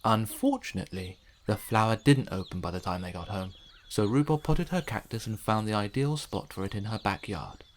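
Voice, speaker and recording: A man's voice: lowish voice, animated, brisk, slight background noise. Man reading a children's storybook aloud. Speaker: children's book reader. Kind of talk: expressive storybook narration.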